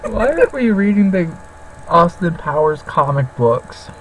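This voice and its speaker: A man's voice: high-pitched, very animated, unhurried, slight background noise, poor audio. A man in casual banter as a heckling co-host, teasing with crude humour.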